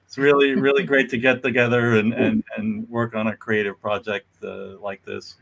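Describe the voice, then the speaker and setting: A man hosting casual, unscripted live chat in a halting way, repeating words as he goes. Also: fairly low pitch, level tone, creaky, fairly bright tone, rough recording. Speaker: host thanking the cast.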